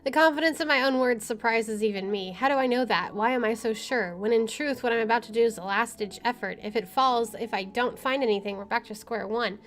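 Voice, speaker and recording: A woman's voice: fairly high pitch, brisk, few pauses, faint music behind. A woman hosting a playthrough, reading game text aloud and commenting casually. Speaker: gameplay host.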